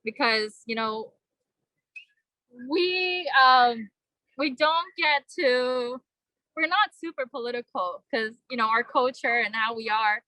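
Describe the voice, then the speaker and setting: A young woman from Vietnam speaks at a vigil in an earnest, halting way, leaning on fillers as she gathers her thoughts. Her voice is bright and somewhat high. The sound is close and dry. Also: no echo, poor audio. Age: young adult. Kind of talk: personal speech at a vigil.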